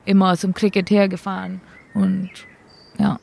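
A woman's voice: medium pitch, completely flat delivery, unhurried, creaky, a little mellow. A young woman from India with an Indian accent, a cricket player answering interview questions in simple, hesitant German full of fillers.

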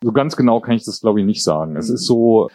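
A man's voice: lowish voice, expressive, quick pace, warm tone. A man in his fifties, raised bilingual in German and English, talking reflectively and hesitantly as an interview guest. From born in Jordan, grew up in England and Germany. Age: 50s. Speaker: interview guest, writer and political columnist. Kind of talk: casual, reflective interview conversation.

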